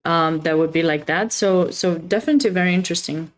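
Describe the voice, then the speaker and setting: A woman's voice: fairly low pitch, fast. A woman with a Romanian accent hosting a chess livestream, chatting casually and expressively with viewers between bits of commentary.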